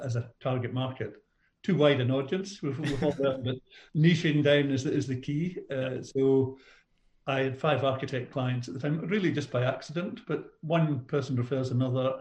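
A man's voice: mid-range voice, a little mellow. A middle-aged man with a Scottish accent, a business coach answering questions in a conversational interview. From Scotland. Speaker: business coach, podcast guest. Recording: roomy, echoey sound.

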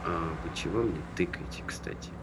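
A man's voice: deep voice, normal speed, close to an everyday voice. An actor playing a chatty, easily offended young man at a bus stop in naturalistic colloquial stage dialogue, over street noise. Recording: noisy street ambience with passing vehicles.

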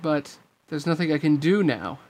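A man's voice: fairly high pitch, lively intonation, moderate pace, darkish tone, voice pushed up, lightly acted. A man voicing an impassioned young street-rat hero, urgent and earnest, in a storybook reading. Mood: despairing.

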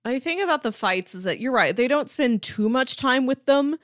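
A woman's voice: sits high, lively intonation, moderate pace, fairly bright tone, no room sound, studio quality. A woman co-hosting a casual book discussion, talking in a chatty, emphatic, conversational way. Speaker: podcast co-host discussing comics.

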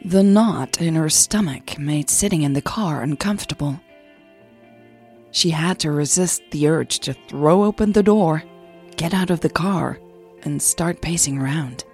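A woman narrating a scripted romantic audio drama in a soft, measured storytelling manner. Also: deep voice, soft background music. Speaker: narrator and podcast host.

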